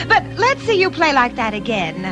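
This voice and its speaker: A woman playing a doting mother of a young boy in an old children's story record, theatrical and deliberate. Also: sits high, wildly swooping pitch, music in the background. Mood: puzzled, indulgent.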